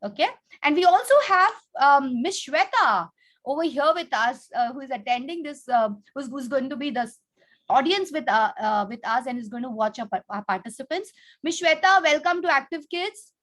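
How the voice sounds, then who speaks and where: A woman's voice: high-pitched, expressive, normal speed, a bit bright. A woman hosting and teaching, addressing children in an instructive way and prompting them with questions over an online video call. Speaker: contest host and teacher. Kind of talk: explaining rules and addressing children.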